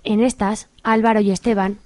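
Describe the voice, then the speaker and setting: A woman's voice: medium pitch, little pitch movement, moderate pace, darkish tone. A woman narrating a scripted comic radio play as a storyteller.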